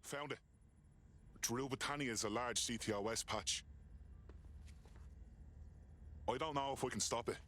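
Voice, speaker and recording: A man's voice: mid-range voice, fairly quick, fairly bright tone, close to an everyday voice. A man playing a defiant, scornful resistance hacker in a video game, speaking in a terse, sardonic way. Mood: wary. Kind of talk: video game cutscene acting.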